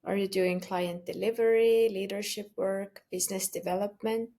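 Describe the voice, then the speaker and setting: A woman hosts a podcast with a gravelly edge to her voice. She asks her questions in a measured, polite way. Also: mid-range voice, steady tone, mellow. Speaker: podcast host. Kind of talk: interview questions.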